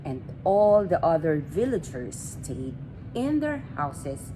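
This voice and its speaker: Female read-aloud narrator for young children; expressive, slow delivery with big pitch swings, clear background noise. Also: music in the background. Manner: expressive, deliberate phrasing with drawn-out words. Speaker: children's story reader. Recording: clearly noisy amateur recording.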